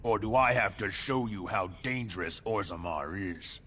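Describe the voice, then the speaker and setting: An actor playing a menacing gang thug in a video game, speaking with mock politeness and open threat. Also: male voice, lowish voice, moderate pace, sharp tone. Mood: mocking menace. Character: menacing male gang thug shaking down merchants. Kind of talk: video game character acting.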